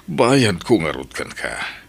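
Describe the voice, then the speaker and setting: A man's voice: on the low side, sing-song, slow, gravelly, exaggerated voice. A Filipino man with an Ilocano accent acting in a radio drama as a lecherous, scheming married employer, wheedling and pressuring. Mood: menacing, insistent. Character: lecherous, scheming married male employer preying on his maid. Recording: noisy radio drama recording.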